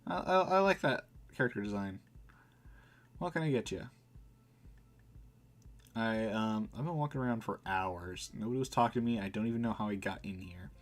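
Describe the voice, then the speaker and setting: A man's voice: lowish voice, normal speed, pauses often. A man doing casual live let's play commentary, chatting informally and reading game text aloud as he reacts. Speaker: game streamer.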